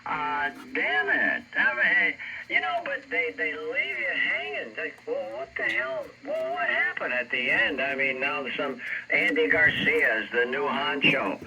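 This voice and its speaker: A man's voice: on the high side, wildly swooping pitch, unhurried, pushed and bright, highs cut off. An older American man chatting casually over shortwave amateur radio, telling rambling anecdotes. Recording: very noisy shortwave radio reception, heavy static.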